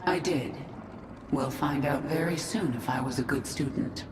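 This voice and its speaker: A woman's voice: low voice, normal speed, heavy background noise, close to an everyday voice. An actress playing a vengeful, resolute alien swarm queen in a video game, with dramatic, commanding delivery. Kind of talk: video game cinematic acting. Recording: echoey voice with loud music.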